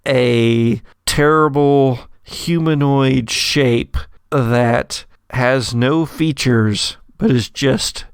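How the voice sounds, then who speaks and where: A man narrating a tabletop roleplaying game as game master, telling the story in a deliberate, dramatic way. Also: mid-range voice, clear, resonant tone, dry recording, studio quality.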